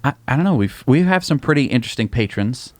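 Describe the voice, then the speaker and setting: Mid-pitched man, dry podcast mic. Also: lively intonation, fast, no room sound. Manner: agreeable, thoughtful. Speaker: podcast co-host. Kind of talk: casual podcast chat.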